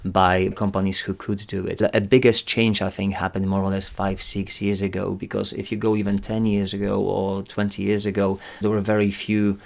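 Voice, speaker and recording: A man with a Polish accent speaks in a very deep register. His delivery stays level and unhurried, with careful, slightly formal phrasing. He sounds earnest as he explains his work. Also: level tone, vocal fry. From Poland. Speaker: guest, climate recruitment director. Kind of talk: interview answers.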